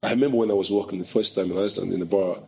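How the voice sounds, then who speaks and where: A middle-aged man from Brazil who speaks English with a Brazilian Portuguese accent, his first language being Portuguese. His voice sits fairly low. He talks as an interview guest in a loose, conversational way, acting out the people he quotes with halting phrasing. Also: fairly even intonation, gravelly, a little mellow, husky. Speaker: guest, photographer.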